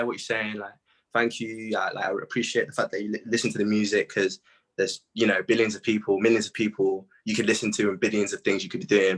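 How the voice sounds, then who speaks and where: A young British man with a fairly low voice talks casually as an interview guest. His manner is laid-back and slangy, like a musician chatting with a peer. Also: fairly bright tone.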